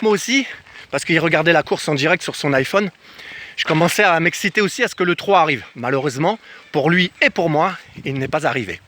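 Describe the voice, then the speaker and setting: Bright-voiced man, animated storyteller. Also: a little high. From France. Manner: animated, acts out reported dialogue. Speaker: travel vlogger. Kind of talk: casual personal storytelling to camera. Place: outdoors in the countryside.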